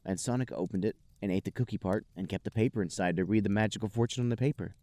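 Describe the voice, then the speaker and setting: A man's voice: lowish voice, quick pace, mellow, dry recording. A man hosting a podcast, narrating amateur fan fiction for comedy in a mock-serious way. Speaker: podcast host.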